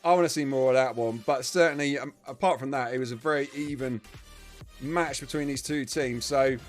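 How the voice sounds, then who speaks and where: A man giving chatty live play-by-play commentary on a match, his voice starting to go hoarse. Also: mid-range voice, a little fast, soft background music. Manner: chatty, self-deprecating asides, bursts of excitement on big plays. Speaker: esports commentator.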